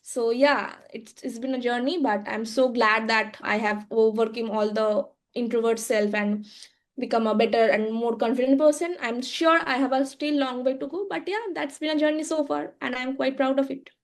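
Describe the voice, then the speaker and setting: A woman, a guest on a podcast interview, telling her story reflectively with frequent fillers and halting restarts. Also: on the high side, steady tone. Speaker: guest, social media agency founder.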